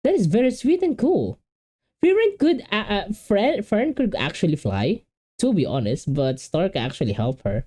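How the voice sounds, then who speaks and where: Filipino-accented man rambling in animated reaction. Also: high voice, normal speed. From Philippines. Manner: rambling, repeats and restarts words, says "dude" often. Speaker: reaction video host. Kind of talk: casual reaction commentary.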